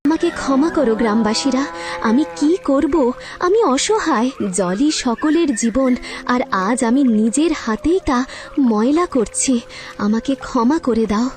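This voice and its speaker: A woman's voice: sits high, animated, takes it slowly, a little mellow. A woman voicing a good-hearted young woman in a cartoon fairy tale, earnest and emotional. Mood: pleading, anguished.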